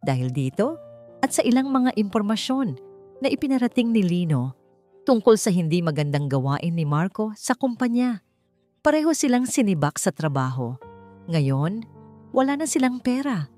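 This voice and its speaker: Low-voiced woman narrating a dramatic story. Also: a little mellow. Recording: music under the voice. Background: background music.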